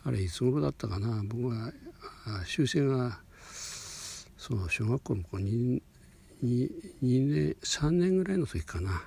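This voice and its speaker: An elderly man in his eighties from Hokuriku, Japan, recounting personal memories in an unscripted, halting, meandering way with a husky, gravelly voice. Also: medium pitch, animated. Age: 80s. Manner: halting, meandering, with sentence-final softeners.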